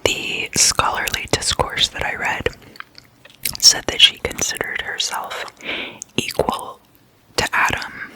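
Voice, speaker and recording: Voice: slow pace, pauses often, bright, forceful tone, rough recording. An ASMR host telling stories in a whisper, in a casual, gossipy, conversational manner.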